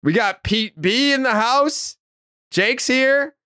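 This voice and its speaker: A young man hosting a sports podcast, loud and teasing in casual chat. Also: extremely high pitch, takes it slowly, clear, resonant tone, dry recording, studio quality. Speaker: podcast host.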